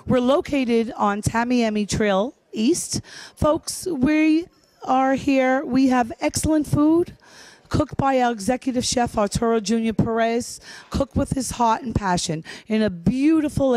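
Emphatic woman pitching, close dry sound. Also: a little mellow, no room sound, poor audio. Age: middle-aged. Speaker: restaurant spokeswoman. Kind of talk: promotional pitch to camera. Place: restaurant dining room by a bar. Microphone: handheld microphone.

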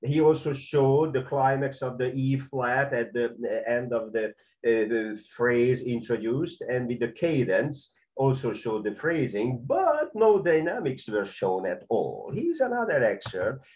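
A man's voice: mid-range voice, big pitch swings, measured pace, hardly any pauses, a little mellow, muffled recording. A man with a Hungarian accent who teaches conducting in a video lesson, talking through excerpts with careful, non-native phrasing and many fillers. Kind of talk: spoken video lesson with commentary.

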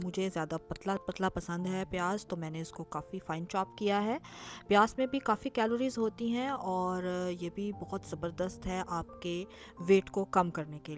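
A woman's voice: on the low side, darkish tone. A woman narrating her cooking and dinner-outing vlog in a chatty, explanatory way, with frequent filler sounds. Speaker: lifestyle vlogger. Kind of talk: casual recipe and travel vlog voice-over. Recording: clear music bed behind voice.

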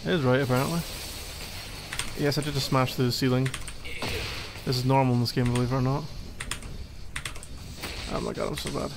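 Man playing a flustered gamer boyfriend, gravelly voice. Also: medium pitch, little pitch movement, noisy background, lightly acted. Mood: casual explaining. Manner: halting, teasing, mumbly asides while playing a game. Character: needy young gamer boyfriend, flustered, playfully exasperated. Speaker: ASMR roleplay performer. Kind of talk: ASMR boyfriend roleplay, improvised-sounding casual chat.

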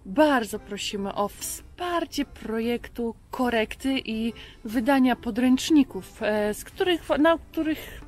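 A woman with a fairly high voice speaks as the host of a children's programme. She gives polite closing thanks with a slight halting delivery, and some music sits behind her. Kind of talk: closing thanks and appeal to viewers.

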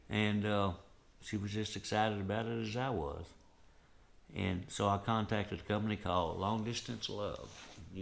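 A man's voice: on the low side, gravelly. An older man with a Southern US accent, telling a personal story to camera in an earnest, rambling way, with frequent "and, uh" fillers. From Southern United States. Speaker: vlogger. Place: living room, indoors.

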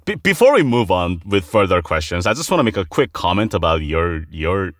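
Low, lively male podcast host. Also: fairly quick, no echo, clean studio sound. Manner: laughs often, warm praise, restarts phrases. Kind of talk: casual interview.

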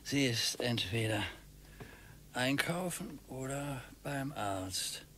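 A whiny, grumbling old retiree. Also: male voice, mid-range voice, lively intonation, slow, pushed and bright, slight background noise. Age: older. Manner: grumbling, self-pitying, whiny. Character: grumpy bored retired husband, older. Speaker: retired husband. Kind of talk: radio drama acting.

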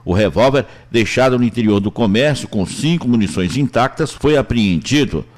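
A man's voice: medium pitch, lively intonation, moderate pace, a little mellow. A man with a Brazilian accent reading scripted police news reports as a reporter.

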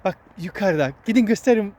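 A woman's voice: mid-range voice, lively intonation, a little fast. Woman guiding children outdoors, faint background noise. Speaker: vlog host guiding children. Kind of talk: casual outdoor chat explaining nature to children. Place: outdoors in a wooded area.

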